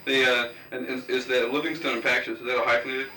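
A man in his late twenties interviewing, talking quickly and stumbling through many restarts. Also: medium pitch, little pitch movement, sharp tone. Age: 20s. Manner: quick, halting, full of restarts. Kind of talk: casual oral-history interview. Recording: roomy, distant, hissy tape recording.

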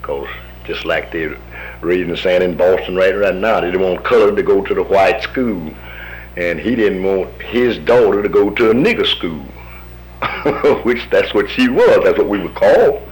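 A man's voice: sits low, lively intonation, normal speed, slight background noise, low-quality recording. An American man in his fifties, an army veteran telling his story in a folksy manner, acting out the voices of the people he quotes. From United States. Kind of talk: oral history storytelling.

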